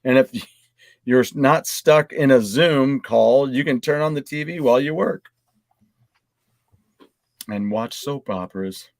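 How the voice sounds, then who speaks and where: Male podcast co-host, mid-range voice, relaxed group-chat delivery with some read-aloud bits, very dry recording. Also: medium pitch, takes it slowly, pauses often, dry recording. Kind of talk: casual group chat, reading out items.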